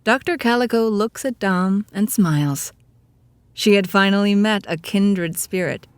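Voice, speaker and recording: A woman's voice: medium pitch, unhurried, clear, resonant tone, dry recording. A woman narrating a scripted audio drama in a storybook style. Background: music with guitar.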